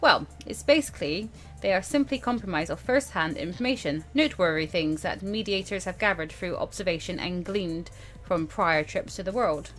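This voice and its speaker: A woman's voice: lowish voice, expressive, normal speed, hardly any pauses, a bit bright. A woman voicing an experienced male mentor in a visual novel, dry, sardonic and lecturing. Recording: music behind the voice.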